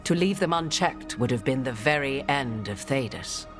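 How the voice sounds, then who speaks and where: An actress playing an arch, enigmatic sorceress in a video game, with haughty, theatrical, archaic phrasing. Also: female voice, extremely low pitch, expressive, moderate pace, music in the background. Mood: grave, advising.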